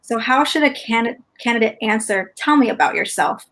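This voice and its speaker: A woman's voice: fairly high pitch, animated, a little fast, fairly bright tone. Upbeat woman moderating a webinar panel. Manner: upbeat, encouraging, thanks each speaker.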